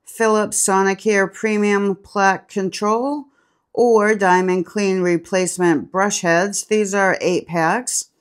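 A woman reads down a sale flyer item by item, calling out every model and price in an even, listing way. She takes her time, with unhurried, deliberate pacing and a middle-range pitch, close to a phone held over the page. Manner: steady itemized listing of products and prices. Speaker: channel host.

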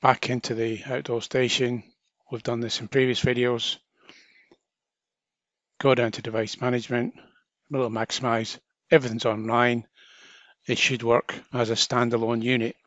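A man narrating a step-by-step software tutorial in an informal, chatty way, talking through each action as an instructor. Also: mid-range voice, flat delivery, moderate pace, pauses often, dry recording, muffled recording. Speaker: training video instructor.

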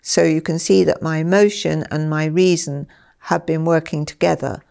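An older woman being interviewed in a small study, explaining her work with children in an animated way and acting out little dialogues. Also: sits low, moderate pace, creaky. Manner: animated, gestures a lot, acts out dialogue. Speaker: interviewee, former school head. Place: small study with bookshelves, indoors.

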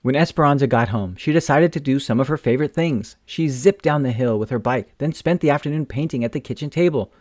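A man reading a children's picture book aloud in a gentle, expressive storytelling manner. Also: medium pitch, fast talker, hardly any pauses, no echo, clean studio sound. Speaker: storytime reader.

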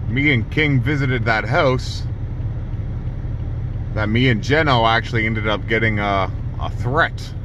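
A man's voice: lowish voice, unhurried. A man in his thirties rambling casually to camera as an exploration vlogger, with informal slang. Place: outdoors in snow and inside a car. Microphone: handheld camera at arm's length. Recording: dull lo-fi camera audio, clear background noise.

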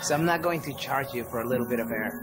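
A man's voice: medium pitch, fast talker, natural voice. An actor playing a kind, honest young male mechanic who speaks in a friendly, earnest way. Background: music.

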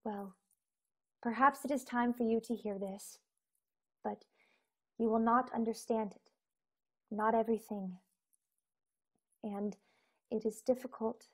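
A woman in her twenties acting a gentle mother, once a starship engineer, in a radio play over a video call. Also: on the high side, slightly rough, full character voice. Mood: hushed, reluctant.

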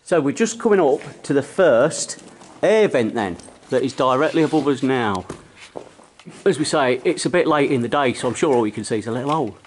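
A middle-aged man, giving jokey, teasing commentary while exploring a dark rail tunnel, recorded on a handheld camera. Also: mid-range voice, lively intonation, fairly quick, a little hiss or hum. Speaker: presenter and group leader.

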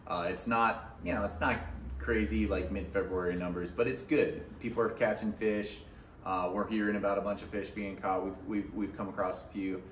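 A man's voice: fairly low pitch, steady tone, moderate pace, fairly bright tone. A man in his thirties gives a casual fishing report to the camera in a small fly shop, loose and chatty, with many fillers and restarts. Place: small fly shop with hats and rods on the walls. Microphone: camera a few feet away. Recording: roomy shop sound, mic at a distance, faint background hum.

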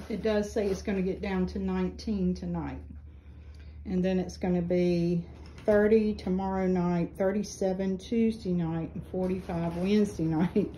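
A middle-aged woman chatting casually about her seedlings in a small greenhouse, unhurried and rambling with many ums, filmed on a handheld phone. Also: on the low side, mellow, a little hiss or hum, rough recording. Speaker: flower farm vlogger. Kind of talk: casual gardening vlog. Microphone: phone camera held while filming.

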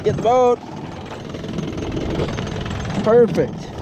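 A man's voice: high voice, very slow, muffled recording. A man chatting casually and enthusiastically in slangy bursts while fishing from a small boat outdoors. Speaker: angler. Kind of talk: casual fishing vlog chat. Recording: noisy outdoor boat with motor sound.

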